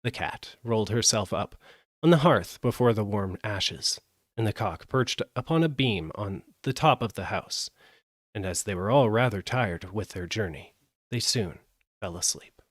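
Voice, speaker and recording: A man narrating a fairytale as a live audiobook, with an expressive, measured delivery. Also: fairly low pitch, no echo, studio quality. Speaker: storyteller.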